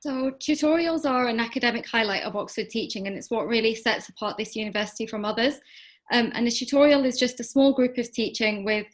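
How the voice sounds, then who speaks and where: A woman's voice: fairly high pitch, a little fast. A woman in her twenties from northwest England, a medical student, explaining fluently. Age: 20s. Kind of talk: open day introduction.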